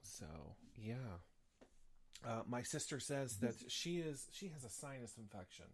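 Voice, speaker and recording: Brief: male host of an easygoing live chat show, mid-pitched, sniffly, rambles with fillers. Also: expressive, unhurried. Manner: rambling, many fillers and restarts. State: sniffly with a slight cough.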